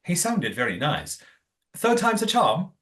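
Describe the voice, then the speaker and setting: Elderly hotel keeper, prim, naive, easily thrilled, lively swooping pitch, genteel old-fashioned phrasing, radio play. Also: unhurried. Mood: pleased, prim. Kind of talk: audio play acting.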